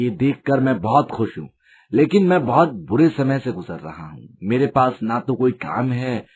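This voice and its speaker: A man's voice: medium pitch, darkish tone, a little hiss or hum, close to an everyday voice. A man playing an envious, ingratiating friend who sweet-talks in a storybook tale. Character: envious, sweet-talking man feigning hardship to his friend. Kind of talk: storybook character dialogue.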